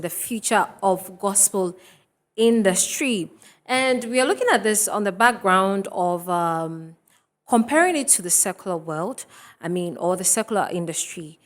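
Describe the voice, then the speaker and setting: Woman hosting a studio talk. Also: on the low side. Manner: chatty, laughs between remarks. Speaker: TV talk show host.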